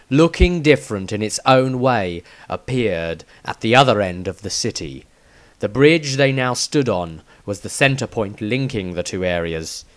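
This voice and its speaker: A man reading his own fantasy story as scripted narration, with dramatic, deliberate phrasing. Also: mid-range voice.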